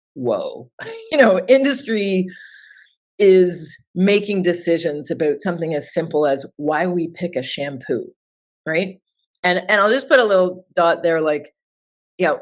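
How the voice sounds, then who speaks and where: A woman in her forties from Toronto, giving chatty, informal answers as an interview guest over a remote call. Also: lowish voice. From Toronto, Canada. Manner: chatty and informal, with filler words. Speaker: interview guest, sustainability consultant.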